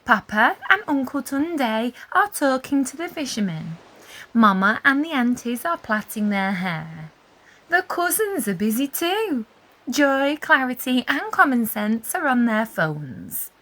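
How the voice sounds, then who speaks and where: Casting: female children's story reader, high and very lively, unhurried, emphatic delivery. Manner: deliberate emphasis, animated storytelling. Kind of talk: expressive read-aloud narration for young children.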